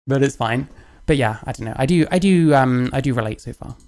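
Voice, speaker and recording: A man's voice: medium pitch, expressive, normal speed, a little mellow. A man speaking casually and candidly, rambling and self-deprecating, laughing and swearing as he reacts to a clip. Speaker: youtuber reacting to another creator's video.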